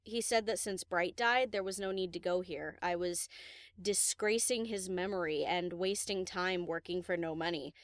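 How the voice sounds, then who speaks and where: A woman's voice: on the low side, moderate pace, no room sound, studio quality, close to an everyday voice. A woman voicing a kind-hearted, vulnerable female pony DJ in an audio drama, talking informally and hesitantly. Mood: hurt, hesitant.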